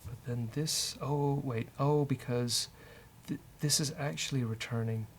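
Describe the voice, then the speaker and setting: A man's voice: mid-range voice, fairly even intonation, a little slow. Man thinking aloud while coding, faint noise. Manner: joking, bantering with co-host. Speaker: host of a programming show, coding live. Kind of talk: casual live coding walkthrough, thinking aloud.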